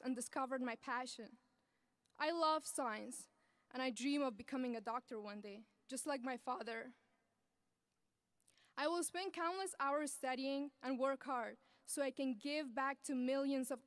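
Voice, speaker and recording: A young woman from Afghanistan giving an earnest, measured graduation speech as the student speaker at a podium microphone. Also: high voice, fairly bright tone, clean tone, no room sound. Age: young adult. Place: large ceremony venue.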